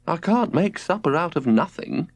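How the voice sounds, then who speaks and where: A man voicing a dreamy, romantic mother who talks in a soft, sweet, gushing way. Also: a little high, expressive, normal speed, no echo, voice pushed up, lightly acted.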